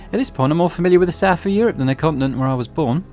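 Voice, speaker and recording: A man's voice: a little high, rapid-fire, darkish tone, faint music behind, pitched above the performer's own voice, natural voice. A man voicing a reserved, wry young woman who speaks in formal, clipped Victorian phrasing.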